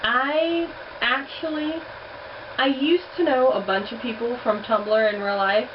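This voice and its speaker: A woman's voice: fairly high pitch, steady tone, measured pace. A young woman chatting bluntly in a casual vlog, swearing now and then. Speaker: vlogger. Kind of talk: casual accent challenge vlog. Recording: distant muffled home recording with heater noise.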